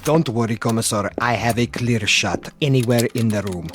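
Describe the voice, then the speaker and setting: Man acting blunt, dutiful henchman, medium pitch. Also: normal speed, close to an everyday voice. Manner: blunt, simple short replies. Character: plain-minded Soviet marksman henchman, dutiful, fond of food. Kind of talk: radio drama acting. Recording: music behind the voice.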